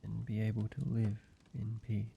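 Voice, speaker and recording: A tender, earnest vampire lover played by a man speaks intimately to the listener in an audio roleplay. The voice is lowish and gravelly, with halting, reassuring phrases. The recording is slightly dull. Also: little pitch movement. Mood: tender, devoted. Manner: halting, hushed pleading, soft reassurance with pauses. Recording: dull sound, faint background noise.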